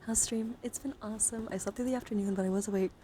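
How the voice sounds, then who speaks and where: A woman hosting a casual ASMR livestream, chatting playfully and coaxingly and often slipping into breathy whispers. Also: medium pitch, steady tone, fast, dark tone. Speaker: livestream host. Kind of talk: casual chat and ASMR handcam stream. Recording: clearly audible background noise.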